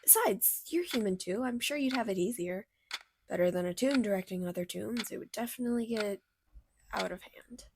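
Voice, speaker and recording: A woman voicing a bubbly, caring female cartoon character in a radio play, chatty, motherly and expressive. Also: medium pitch, normal speed, a little hiss or hum, natural voice. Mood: warm, encouraging. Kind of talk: fictional radio theater acting.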